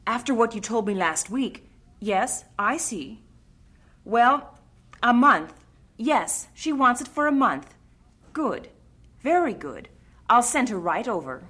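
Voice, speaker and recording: A brisk, frank helper in an audio drama. Her husky voice swings widely in pitch as she speaks with emphasis. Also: very animated, a bit bright, exaggerated voice.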